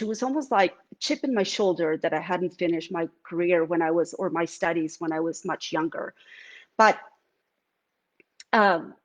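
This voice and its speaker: A middle-aged woman with a Venezuelan accent speaks reflectively and casually in a live talk from her art studio. She talks with a lot of fillers and restarts, and her pitch sits at a middle level. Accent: Venezuelan Spanish. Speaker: artist. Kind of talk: live-streamed artist talk.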